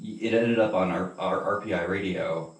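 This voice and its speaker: A man with a fairly low voice asks and comments casually as an interviewer. His speech is tentative and halting, heard off-mic in a roomy, echoing space. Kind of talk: casual chat. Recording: distant mic, echoey room.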